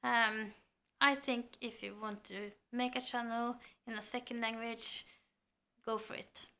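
Slow, bright-voiced Norwegian woman. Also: a little high, steady tone. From Norway. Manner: thoughtful, hesitant with fillers. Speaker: book vlogger. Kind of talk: casual chat to camera. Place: home room lined with bookshelves. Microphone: camera at close range.

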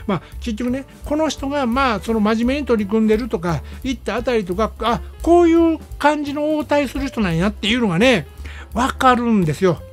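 A radio host with a Kansai Japanese accent, chatting casually through a movie review in an explanatory, conversational way. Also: medium pitch. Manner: conversational, explanatory, frequent "ne" tags. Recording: music playing behind the voice. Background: background music.

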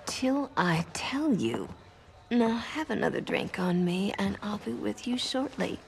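A woman's voice: medium pitch, expressive, unhurried, creaky. A woman playing a tough, no-nonsense young American saloon girl in a western video game. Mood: coaxing. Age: young adult.

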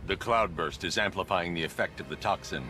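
An actor playing a grim masked vigilante in a video game, terse and commanding, with a low growl. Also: male voice, a little fast, lightly acted. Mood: grave. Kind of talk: video game cutscene acting. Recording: loud music under the voice.

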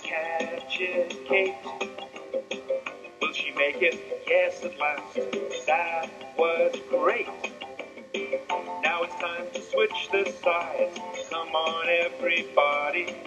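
Male singer, high and unhurried, children's song over music. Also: fairly even intonation. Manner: clear, slow, cheerful sing-along delivery. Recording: lo-fi old song track. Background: band music.

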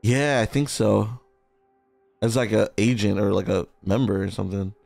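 A man's voice: on the low side, normal speed, creaky, a little mellow, soft background music. A young man co-hosting a casual film reaction, slangy, profane and exclamatory, close on a studio mic. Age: young adult. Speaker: reaction video co-host. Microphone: close studio microphone with headphones.

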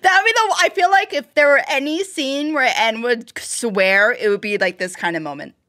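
A woman hosting a reaction video, giving casual, expressive commentary with frequent exclamations. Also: a little high, moderate pace. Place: own room. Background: music from the watched animation.

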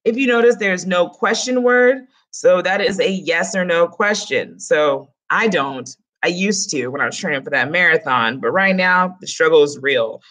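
A lively woman in her thirties teaches a Spanish class from a small room at home over a close webcam. Her Spanish words carry a Dominican accent, and she breaks off into playful, chatty asides to her students. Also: lowish voice, normal speed, hardly any pauses. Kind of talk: online class lesson.

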